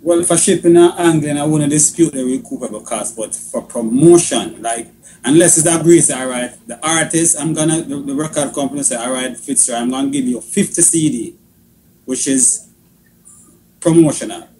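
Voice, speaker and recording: A man's voice: medium pitch, moderate pace, mellow, a little hiss or hum, rough recording. A man with a Caribbean accent arguing on a radio panel in an insistent, questioning manner. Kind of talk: argumentative radio discussion.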